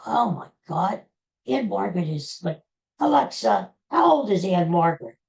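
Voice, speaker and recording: Gravelly-voiced chatty woman in her sixties. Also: mid-range voice, sing-song, moderate pace, a little mellow. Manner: rambling, chatty, addresses listeners directly. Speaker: podcast host. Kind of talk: casual solo podcast monologue.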